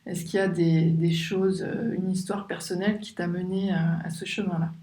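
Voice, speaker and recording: A woman's voice: on the low side, level tone, moderate pace, warm tone, roomy sound, rough recording. Conversational woman podcast host. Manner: conversational, with frequent fillers when asking questions. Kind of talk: podcast intro and interview.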